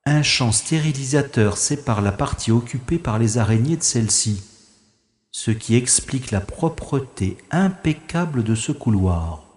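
A man playing a cautious, wry infiltrator who thinks aloud in a deliberate, dramatic monologue from an audio science-fiction adventure. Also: mid-range voice, animated, a little mellow, roomy sound, lightly acted. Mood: curious, wary. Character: cautious, wry male infiltrator narrating his secret mission.

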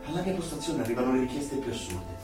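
An actor playing an anxious, nostalgic rebel clerk in an Italian dystopian radio drama, earnest and swinging from hushed to heated. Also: male voice, medium pitch, big pitch swings, fast, distant mic, lightly acted. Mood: troubled. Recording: echoey, off-mic radio play over music.

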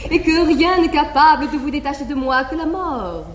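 An actress playing a proud, wronged noble wife who declaims with bitter irony in a classical stage drama, on an old live theatre recording. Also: female voice, high voice, moderate pace, echoey room, in a large hall, a little hiss or hum, lightly acted. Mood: ironic.

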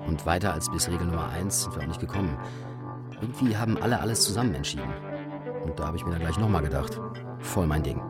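Fast-talking, cheeky young backpacker guy played by an actor. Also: low-pitched, loud music behind the voice, close to an everyday voice. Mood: wry recounting. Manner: flippant, slangy, rambling. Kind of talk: German radio drama, naturalistic acting.

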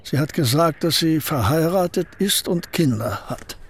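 An actor playing an aging male painter and poet in a radio play, speaking in a husky voice with a dry, reserved and curt manner. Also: fairly high pitch, expressive, normal speed. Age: older.

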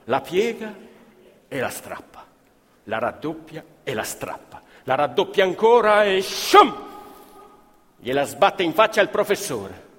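A man's voice: on the high side, very animated, measured pace. A man narrating as an actor on stage, with colloquial, emphatic theatrical storytelling. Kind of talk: dramatic theatrical storytelling of oral history. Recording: hall reverb, live stage performance.

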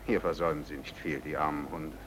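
An actor playing a suave, smugly amoral racketeer in a vintage radio play, with a nonchalant, theatrical delivery. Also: male voice, very deep voice, fairly even intonation, fast, noisy background. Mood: breezy, philosophizing. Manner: theatrical, nonchalant, swinging from muttering to declaiming.

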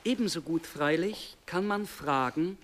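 Higher-voiced, pompous literary scholar. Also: male voice, unhurried, a bit bright. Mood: scornful, declaiming. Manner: pompous, cutting. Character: scornful male literary scholar giving a pompous lecture. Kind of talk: formal lecture in radio drama.

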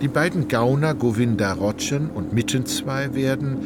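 A man narrating a literary radio play in a measured, precise, faintly ironic manner. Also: medium pitch, very noisy.

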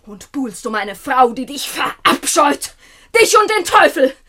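Proud, dignified young princess, radio play verse, bright, fairly high voice. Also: female voice, very animated, measured pace, exaggerated voice. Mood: outraged, commanding. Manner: formal, dignified, declamatory. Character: proud, virtuous young princess, loyal wife. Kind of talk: classical German radio play verse acting.

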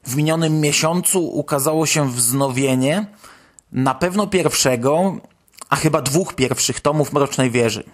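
A man with a slightly high voice hosts a podcast. He delivers scripted news items as a presenter, moving through them in an organised narration. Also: a little slow. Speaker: podcast host.

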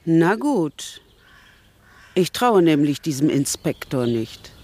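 An older actress playing a blunt, disapproving elderly English spinster aunt in a radio play, brusque and scornful. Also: female voice, sits low, big pitch swings, takes it slowly, mellow, slight background noise, heavily acted.

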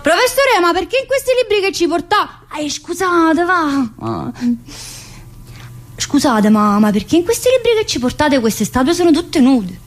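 A woman's voice: very high voice, very animated, a little slow, faint music behind, heavily acted. An actress playing a talkative, earthy woman inmate in a theatrical monologue, rambling colloquially with a central-southern Italian accent. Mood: cheeky, curious. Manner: colloquial, rambling, full of interjections.

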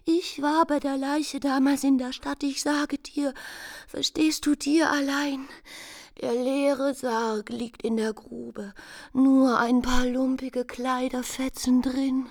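A woman's voice: high voice, little pitch movement, slow, darkish tone, no echo, clean studio sound. An actress playing a fragile, deluded young bride-to-be in a German radio play, confiding her secrets with feverish earnestness. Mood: conspiratorial.

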